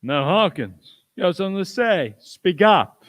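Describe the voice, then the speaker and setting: An authoritative squire acted by a man for a radio play. His voice sits fairly high and is recorded dry. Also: big pitch swings, measured pace, a bit bright, no room sound, exaggerated voice. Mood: earnest. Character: authoritative English squire aboard ship.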